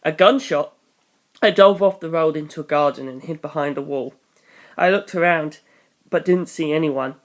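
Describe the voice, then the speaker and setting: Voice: medium pitch, moderate pace. A reader narrating a first-person action story in a storytelling style. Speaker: audiobook reader.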